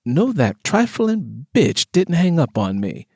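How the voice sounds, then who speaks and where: A man voicing a proud, sharp-tongued city woman in an audiobook, assertive and sassy. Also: on the high side, sing-song, takes it slowly, husky, no echo, studio quality, pitched above the performer's own voice, heavily acted. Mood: irritated.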